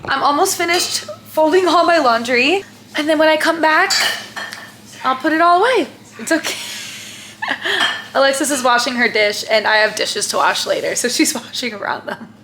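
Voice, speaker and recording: A woman's voice: high-pitched, normal speed, noisy background. A woman in her twenties chatting casually to the camera as a lifestyle vlogger, upbeat and friendly, with lots of "like" and "um". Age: 20s. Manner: chatty, filler-heavy with frequent "like" and "um". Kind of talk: casual vlog chat to camera.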